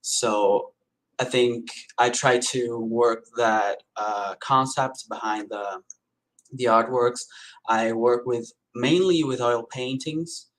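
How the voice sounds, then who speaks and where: Slow, hesitant Brazilian man. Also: medium pitch, fairly bright tone, rough recording. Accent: Brazilian Portuguese. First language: Portuguese. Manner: hesitant, many fillers and restarts. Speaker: painter guest. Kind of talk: live-stream interview.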